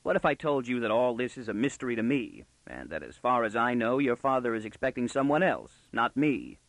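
A man's voice: mid-range voice, expressive, a little fast, vocal fry, a bit bright, dry recording, rough recording. A man voicing a wry young male intruder in a storybook, gritty and sardonic with mocking courtesy. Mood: gruff, sardonic.

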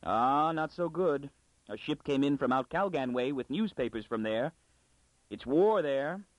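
Man voicing an animated, folksy older husband. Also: medium pitch, measured pace, no room sound, natural voice, rough recording. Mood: chatty. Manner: folksy inverted syntax, excitable, defensive. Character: plump, good-natured, hearty older husband, a farmer-trader.

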